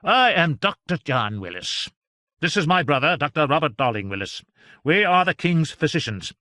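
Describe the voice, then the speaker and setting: A pompous royal physician speaks down to everyone in a raised, commanding voice, as a male reader puts him on. His tone is haughty and scornful, with a bright, forceful ring and lively swings of pitch. He sounds like a storybook doctor sure of his own authority. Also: moderate pace, no echo, clean studio sound.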